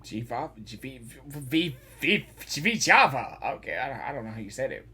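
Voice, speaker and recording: A man giving casual, excitable gaming reaction commentary, often repeating phrases as he blurts at the screen. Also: mid-range voice, normal speed, husky.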